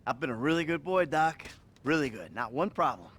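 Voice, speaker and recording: A man's voice: fairly high pitch, very animated, brisk, a bit bright, breathy edge, heavily acted. An actor playing a troubled middle-aged American criminal confessing to his therapist, hesitant, sighing and sardonic, in a naturalistic video game style. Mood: defensive.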